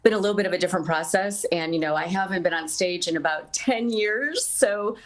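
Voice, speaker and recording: A woman's voice: lowish voice, fairly quick. A woman answering as an interview guest in a casual, conversational style. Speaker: interview guest, radio broadcaster and actor.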